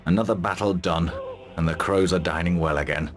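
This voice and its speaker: A man's voice: deep voice, steady tone, brisk, faint music behind, natural voice. A man playing a battle-hardened, fearless commander in a fantasy game, speaking tersely with dry resolve. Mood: grim. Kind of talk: dramatic game cutscene acting.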